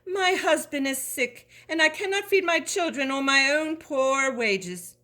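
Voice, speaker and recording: A woman's voice: sits high, animated, unhurried, pushed and bright, pitched above the performer's own voice, exaggerated voice. A woman voicing a poor, worried mother of many children in a storybook, pleading.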